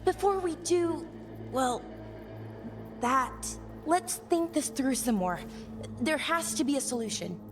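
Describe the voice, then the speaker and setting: A caring young woman adventurer in a fantasy game. She is halting and earnest, with a high, expressive voice over faint music. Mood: hesitant, worried. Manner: halting, tentative.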